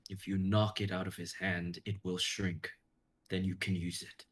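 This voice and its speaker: Man playing a husky, earnest mythic hero. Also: low voice, little pitch movement, normal speed. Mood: urgent. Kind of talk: live dramatic script reading.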